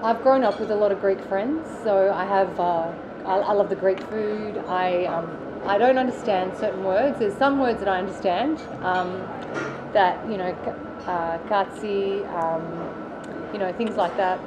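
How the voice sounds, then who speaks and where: A woman with an Australian accent, an actress answering interview questions in a relaxed, chatty way with lots of fillers and restarts. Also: mid-range voice, a little slow. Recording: loud background noise.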